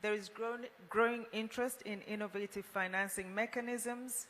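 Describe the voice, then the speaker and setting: A woman chairs a formal roundtable at a medium pitch. Her voice rings through a big hall, with some room noise around it. She speaks as a moderator addressing delegates. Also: fairly even intonation, moderate pace, a bit bright. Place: large indoor conference hall. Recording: echoey hall, noisy room ambience.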